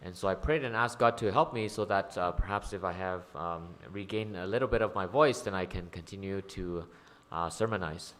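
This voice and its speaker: A man's voice: low-pitched, moderate pace, a bit bright. A man interpreting a sermon into English, hesitant, with frequent ums and uhs. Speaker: church interpreter.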